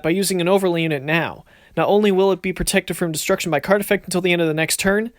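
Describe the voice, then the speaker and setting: A man's voice: a little high, fairly bright tone, no room sound, clean studio sound. A man playing a cocky card duelist in an anime-style series, speaking in a theatrical, smug, declamatory way. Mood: explanatory. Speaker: voice actor in a fan dueling series. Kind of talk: anime-style dramatic battle dialogue.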